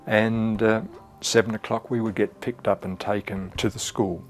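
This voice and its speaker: An older man speaks in a low, even, matter-of-fact way about volunteer work overseas. His pitch barely moves. Soft music sits underneath. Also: normal speed. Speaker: church volunteer. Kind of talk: interview testimony.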